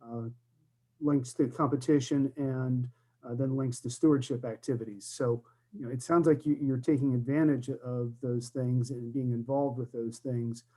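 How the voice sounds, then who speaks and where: A man's voice: mid-range voice, steady tone, moderate pace, mellow, poor audio. A man presenting at a virtual conference, explaining things with frequent fillers. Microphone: computer mic on a video call.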